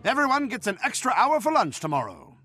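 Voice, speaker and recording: A man playing an excitable, proud gnome-like team leader in a video game, commanding and theatrical. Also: very high-pitched, brisk, soft background music, exaggerated voice. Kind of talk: video game cutscene acting.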